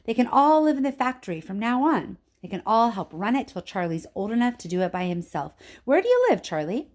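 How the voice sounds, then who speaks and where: A woman's voice: a little high, big pitch swings, fairly quick, darkish tone, voice pushed up. A woman voicing an eccentric, eager old male factory owner with an emphatic, cheerful, insistent way of talking in a storybook reading. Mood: excited, urgent. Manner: emphatic, exclamatory.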